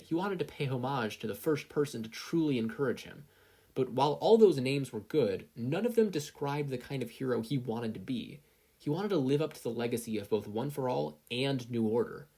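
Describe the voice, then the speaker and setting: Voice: medium pitch, animated, normal speed, dark tone, slightly rough. A narrator reading fan fiction aloud expressively, as a story with character voices. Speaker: podfic reader, narrator.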